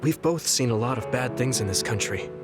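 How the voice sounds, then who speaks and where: An actor playing an earnest, gentle, idealistic young fighter in a video game, with a slightly hesitant manner. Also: male voice, mid-range voice, expressive, brisk, loud music behind the voice, lightly acted. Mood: earnest, hopeful. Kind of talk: English video game voice acting.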